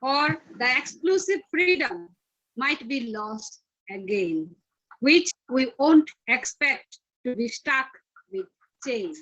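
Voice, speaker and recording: A woman from India with an Indian accent, formally and deliberately reciting poetry, pausing between phrases. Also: high voice, big pitch swings, fairly bright tone, dull audio. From Tripura, India. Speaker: poet, teacher.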